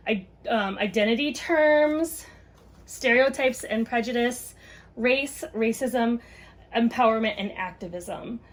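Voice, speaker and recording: A woman reading a children's book aloud and chatting casually to parents, explaining things simply. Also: fairly high pitch.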